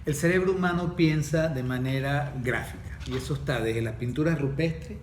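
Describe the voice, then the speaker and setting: A Venezuelan man with a fairly high voice explains in a measured, lecturing way, asking and answering his own questions. He sits back from the mic in an echoey room. A faint hiss runs under his voice. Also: far from the mic. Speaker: course facilitator, guest. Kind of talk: explanatory podcast talk.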